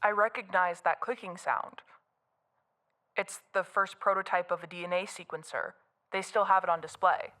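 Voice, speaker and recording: A woman's voice: lowish voice, steady tone, normal speed, sharp tone. A woman playing a terse, certain anonymous tipster on a phone call in an audio drama. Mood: secretive, certain. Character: anonymous female tipster with insider knowledge.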